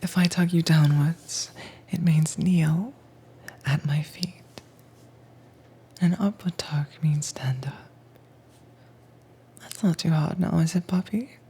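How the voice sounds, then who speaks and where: A woman as a breathy, slow, low-voiced dominant mistress. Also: exaggerated voice. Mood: calm, controlling. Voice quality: breathy, whispery. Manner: soft-spoken, commanding, coaxing, deliberate. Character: dominant, teasing mistress training her werewolf pet. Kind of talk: intimate roleplay audio.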